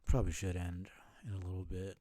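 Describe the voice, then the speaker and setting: A man's voice: low voice, level tone, fast talker, warm tone, a little hiss or hum. A young man casually talking to himself while streaming a game, mumbling thoughts as he plays. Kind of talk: casual live gameplay commentary.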